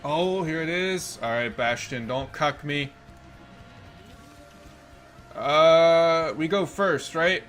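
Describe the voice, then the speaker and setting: A man in his twenties giving casual gameplay commentary from a room, thinking out loud and swearing in quick bursts of excitement. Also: a little high, faint music behind. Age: 20s. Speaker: streamer playing a card game. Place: room indoors.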